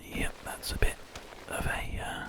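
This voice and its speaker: A British man whispering softly and breathily in an unhurried, rambling ASMR game commentary. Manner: soft, gentle, unhurried rambling. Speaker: ASMR video host.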